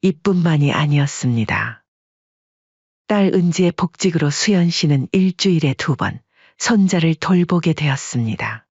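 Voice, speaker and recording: A steady narrator tells a family drama in a calm, even way. The voice is husky and mid-pitched. The recording is dry and a bit dull. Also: moderate pace, dry recording. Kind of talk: scripted storytelling narration.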